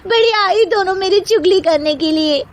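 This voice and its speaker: Squeaky, quarrelsome young daughter-in-law. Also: female voice, moderate pace, noisy background. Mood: indignant. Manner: complaining, indignant, theatrical. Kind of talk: cartoon story character acting.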